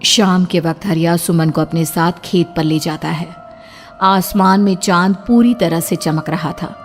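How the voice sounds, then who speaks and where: A woman's voice: fairly low pitch, steady tone, a little slow. A woman narrator reading a scripted horror story in a dramatic style. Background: music.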